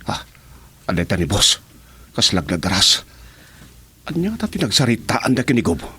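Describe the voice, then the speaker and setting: Raspy, grumbling henchman. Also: fairly low pitch, big pitch swings, normal speed, bright, forceful tone, full character voice. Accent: Filipino. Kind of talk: radio drama acting.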